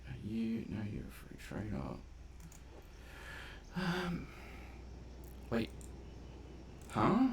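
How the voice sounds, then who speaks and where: A man's voice: mid-range voice, big pitch swings, extremely slow, pauses often, noisy background. A man giving casual, playful gameplay commentary, half muttering his reactions to himself. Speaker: game streamer and commentator.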